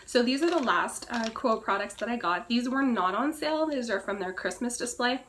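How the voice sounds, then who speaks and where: A woman with a hoarse, cracking voice she says she is losing, chatting casually through a beauty product review and often clearing her throat. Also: a little high, lively intonation, normal speed, fairly bright tone, slight background noise. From Canada. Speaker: beauty vlogger.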